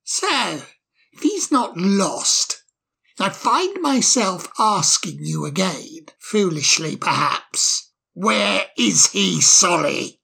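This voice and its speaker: A man voicing a menacing, sarcastic male fox who bosses others around in a storybook reading, with a slow, cold manner. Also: high-pitched, sing-song, vocal fry, bright, forceful tone, slightly rough, exaggerated voice. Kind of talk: storybook audiobook character voice.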